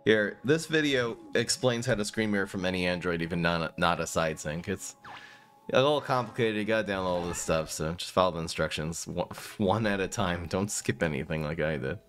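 Jokey low-voiced male game streamer. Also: a little fast, fairly bright tone. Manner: joking, rambling, often laughs at himself. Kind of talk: casual live-stream commentary. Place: small room indoors.

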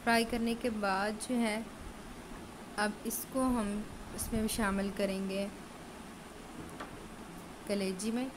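A woman home cook talks casually through each step of her recipe. Her voice sits at a medium pitch. A phone over the pan picks her up with clear background noise and sizzling. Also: flat delivery, takes it slowly, long pauses. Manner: chatty, explains steps while cooking. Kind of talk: cooking tutorial narration. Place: home kitchen at a stove. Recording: noisy home recording.

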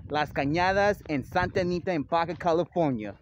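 A man's voice: a little high, fairly quick, muffled recording. A young man talking casually to viewers as he hosts a vlog on a phone outdoors. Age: young adult. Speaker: vlogger, channel host. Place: outdoors by a lake, handheld. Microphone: phone at arm's length. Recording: noisy outdoor phone recording.

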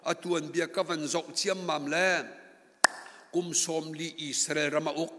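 A man's voice: on the high side, a bit bright. A middle-aged man preaching a sermon from a pulpit microphone in a large church hall, rising to emphatic passages. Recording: long church hall reverb.

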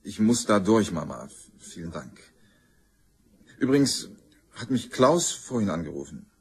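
A harried, evasive man in a radio crime drama. His voice is on the low side and very rough and husky. He speaks tersely, yet his pitch moves a lot. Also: very animated, a little slow, full character voice. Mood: subdued, tense. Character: curt, harried well-off man, evasive under pressure.

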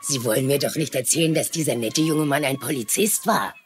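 Eine Schauspielerin spielt eine redselige, leichtgläubige Frau, die sich eifrig in Szene setzt, in einem synchronisierten Fernsehkrimi. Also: female voice, rumbling low, a little fast, a little hiss or hum. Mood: eager, chatty. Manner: chatty, gushing, knowing. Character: chatty, gullible, eager-to-perform woman.

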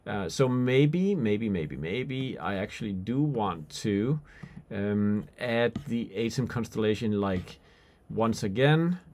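A man's voice: lowish voice, lively intonation, slow pace, darkish tone. A man in his forties giving an unscripted tutorial demonstration, informal and somewhat hesitant as he thinks aloud. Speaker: tutorial presenter. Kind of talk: unscripted software and hardware demonstration. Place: small indoor room with skylight window.

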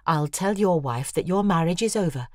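An actress playing a lovelorn, obsessive woman, narrating an intimate audio drama in a hushed, measured, confessional way. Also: lowish voice, lively intonation, dry recording, clean studio sound, close to an everyday voice. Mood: obsessive resolve. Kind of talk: intimate scripted first-person drama narration.

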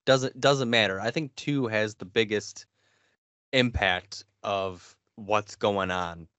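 A man's voice: fairly low pitch, takes it slowly, a bit bright, clean tone, no room sound. A man in his thirties chatting casually as co-host of a gaming podcast. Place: small home room. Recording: dull, lo-fi dry audio.

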